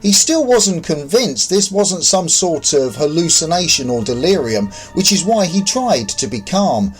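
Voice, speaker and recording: A man's voice: on the high side, sing-song, measured pace, faint music behind. A man who is an author narrating his own story in a scripted audiobook style.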